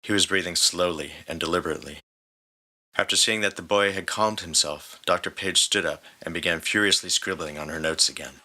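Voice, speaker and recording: A man's voice: low voice, fairly even intonation, a little fast, bright, forceful tone. A man reading a scripted story as a dramatic narrator, with music underneath. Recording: prominent music bed.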